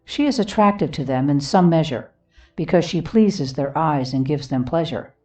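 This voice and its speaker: A woman reading a devotional book aloud expressively, in a solemn, earnest manner with deliberate phrasing. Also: sits low, lively intonation, dark tone, distant mic, muffled recording. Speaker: audiobook reader. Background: music.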